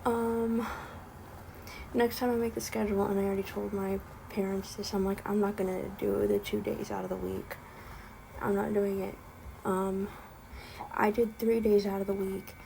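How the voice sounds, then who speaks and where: Young woman, slight lisp, rambling exasperated rant, dark mellow tone, faint background hiss. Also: mid-range voice, level tone, a little slow, vocal fry, rough recording. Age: young adult. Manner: rambling, frequent ums, exasperated. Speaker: vlogger. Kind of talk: casual spoken rant.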